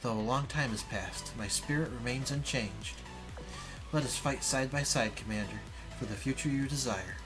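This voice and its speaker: Man reading as a solemn, gentle warship maiden. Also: mid-range voice, normal speed, over music, close to an everyday voice, rough recording. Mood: solemn, resolved. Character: gentle, solemn reborn female warship spirit.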